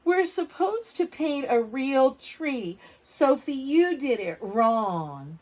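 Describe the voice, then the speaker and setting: A woman voicing a scornful young schoolboy in a storybook, loud and emphatic with drawn-out words. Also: sits high, big pitch swings, voice pushed up, exaggerated voice. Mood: scornful, accusing. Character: scornful young schoolboy classmate teasing a girl.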